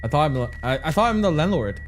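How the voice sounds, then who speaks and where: A man's voice: a little high, big pitch swings, fast talker. A man from China streaming, chatting casually and reacting aloud as he plays. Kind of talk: casual livestream chat. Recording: stream with music behind.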